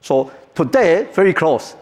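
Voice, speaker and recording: Taiwanese-accented guy giving a keynote, kinda high voice, jokey, big echoey hall. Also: sing-song, darkish tone. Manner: joking, halting, non-native phrasing. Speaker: keynote speaker. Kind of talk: conference keynote talk. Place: large conference hall. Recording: reverberant hall.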